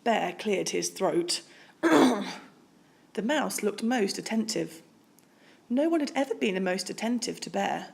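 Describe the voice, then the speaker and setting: A woman reading a children's storybook aloud in expressive narration with deliberate, dramatic phrasing. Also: medium pitch, creaky. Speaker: storybook reader.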